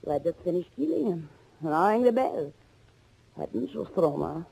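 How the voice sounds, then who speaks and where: An actress playing a vengeful, bereaved bride with steely intensity, speaking in melodramatic, deliberate 1940s radio thriller style. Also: female voice, on the low side, expressive, gravelly, warm tone, husky, exaggerated voice, low-quality recording. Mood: bitter, grieving. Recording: old 1940s radio recording.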